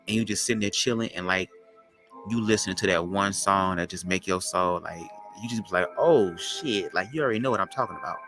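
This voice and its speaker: A man with a low, raspy voice, hosting a podcast as a loose, slangy spiritual monologue. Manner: loose, slangy, drawn-out talk. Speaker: podcast host.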